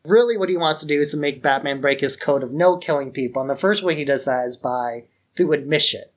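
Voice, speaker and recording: A man's voice: on the high side, fast talker. A man co-hosting a podcast in a casual film discussion, informal and given to acting out paraphrased dialogue. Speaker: podcast co-host.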